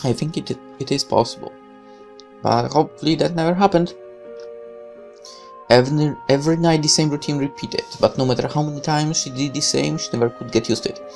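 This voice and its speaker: Creaky-voiced storyteller reading a game aloud. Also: medium pitch, brisk, a little mellow, faint music behind. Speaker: gaming video host reading a visual novel aloud. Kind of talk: story read-aloud with casual asides.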